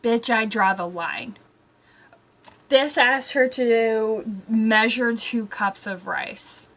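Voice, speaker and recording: A woman's voice: fairly high pitch, slow pace, fairly bright tone. A young adult woman giving casual, rambling comic commentary in a mock-exasperated manner, leaning hard on certain words. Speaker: host of a comedic story-time show.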